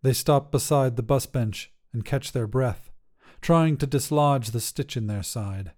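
Slow, mellow male story narrator. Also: medium pitch. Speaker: narrator of a fiction podcast. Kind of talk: scripted short story narration.

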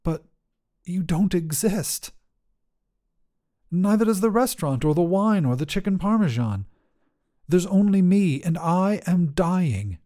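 A man narrating first-person fiction as a desperate, oxygen-starved astronaut, in deliberate, intense, measured phrases. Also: high voice, lively intonation, warm tone. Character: desperate oxygen-starved astronaut telling his own story. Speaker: story narrator.

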